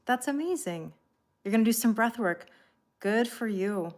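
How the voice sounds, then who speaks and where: A woman's voice: on the high side, animated, normal speed, darkish tone. Chatty Canadian woman on a live stream. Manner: chatty, friendly, laughs often, reads out viewer names. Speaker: tarot reader hosting a live stream. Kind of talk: casual live-stream chat greeting viewers.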